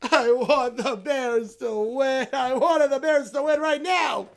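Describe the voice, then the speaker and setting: A woman voicing a furious little boy teddy bear, wailing and shouting his complaints through sobs. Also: on the high side, lively intonation, takes it slowly, creaky, pitched below the performer's own voice, exaggerated voice. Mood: whiny tantrum.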